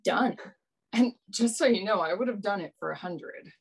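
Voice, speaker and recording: An actress playing a shrewd, world-weary adventurer in a radio play, with a wry, sardonic manner. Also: female voice, mid-range voice, normal speed, gravelly, husky. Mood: shrewd, amused.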